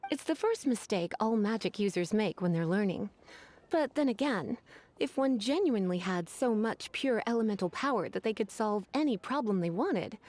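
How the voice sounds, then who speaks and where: Casting a coquettish, refined witch librarian for a game: highish, very expressive pitch, teasing delivery. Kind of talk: video game voice acting.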